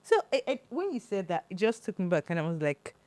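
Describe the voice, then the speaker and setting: A young woman from Nigeria co-hosting a casual podcast chat, telling animated stories and acting out what others said. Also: lowish voice, quick pace, no room sound. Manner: animated storytelling, acting out quotes. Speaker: podcast co-host.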